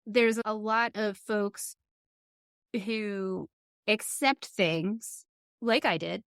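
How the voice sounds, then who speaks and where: A middle-aged woman hosting a podcast, speaking earnestly and thoughtfully in candid conversation, often pausing mid-thought. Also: mid-range voice, fairly bright tone, smooth, dry recording, clean studio sound. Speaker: podcast host.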